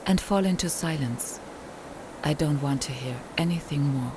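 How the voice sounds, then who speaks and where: A woman's voice: deep voice, normal speed, background noise, natural voice. A woman playing a lone first-person narrator in an experimental radio play, with music behind her. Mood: subdued.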